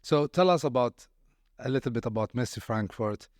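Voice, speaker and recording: A man's voice: medium pitch, animated, moderate pace, dry recording, clean studio sound. A man hosting a podcast interview, courteous, often repeating words as he searches for phrasing. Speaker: podcast host.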